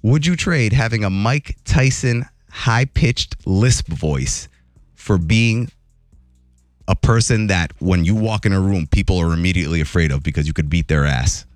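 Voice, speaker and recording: A man hosting a morning radio show, telling entertainment news in a jokey, animated way. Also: normal speed, no echo, clean studio sound, soft background music. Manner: animated, jokey, voice jumps high for emphasis.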